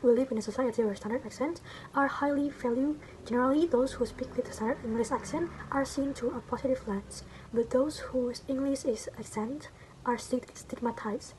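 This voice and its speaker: A woman's voice: a little high, dark tone, slight background noise, rough recording. A young woman from Indonesia with a Sundanese accent, a university student reading her written assignment aloud with small stumbles and self-corrections. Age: young adult.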